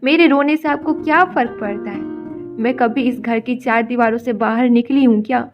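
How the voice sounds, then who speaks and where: An actress voicing a patient, hurt young wife in an animated story, talking emotionally and pleadingly. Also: female voice, on the high side, moderate pace, dull audio, close to an everyday voice. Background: background music.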